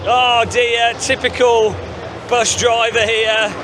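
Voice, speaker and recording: Male host, casual upbeat walkthrough patter, fairly high voice, noisy convention hall. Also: level tone, takes it slowly. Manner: chatty, upbeat, lots of fillers. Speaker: gaming channel host. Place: busy gaming convention floor.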